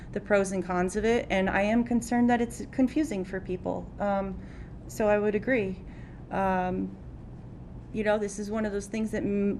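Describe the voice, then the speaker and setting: A woman city council candidate answers at a forum in an even, flat-toned way, measured and steady, with a few fillers. Her voice sits mid-range, and a faint rumble runs underneath.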